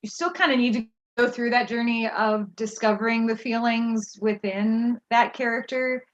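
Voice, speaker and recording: A woman's voice: a little high, fairly even intonation, moderate pace. A woman actor thoughtfully and tentatively explaining her approach in a casual question-and-answer session on a video call. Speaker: actor in a staged reading. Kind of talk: casual post-show Q&A. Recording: slightly dull online call audio.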